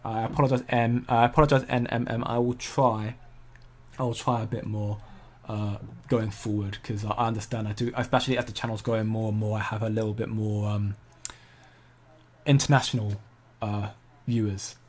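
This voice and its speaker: A man's voice: on the low side, flat delivery, normal speed. A man, hosting a casual crypto livestream and answering viewer comments in a chatty, self-correcting way. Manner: chatty, self-correcting, laughs easily at chat.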